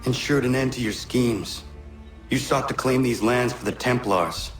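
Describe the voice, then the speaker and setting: An actor playing a resolute young assassin who speaks in an earnest, restrained way. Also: male voice, mid-range voice, unhurried, soft background music, lightly acted.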